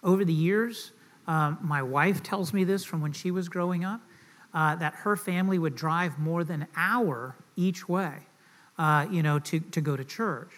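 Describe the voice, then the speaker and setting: A man's voice: fairly high pitch, measured pace, fairly bright tone. Joking, conversational man preaching a sermon. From Southern United States. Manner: conversational and joking, asks the audience questions. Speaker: pastor.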